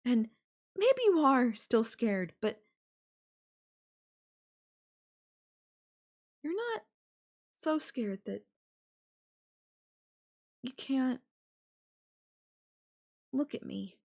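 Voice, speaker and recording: A woman's voice: sits high, big pitch swings, very slow, pauses often, full character voice. A woman voicing a possessive, sweetly menacing yandere girlfriend in an intimate audio roleplay, coaxing the listener with affection and veiled threats. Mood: curious, affectionate.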